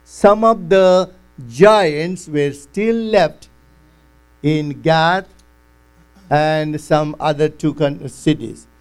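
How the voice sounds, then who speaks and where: A man preaching a sermon, teaching slowly and deliberately and acting out quotes. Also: on the high side, clear, resonant tone.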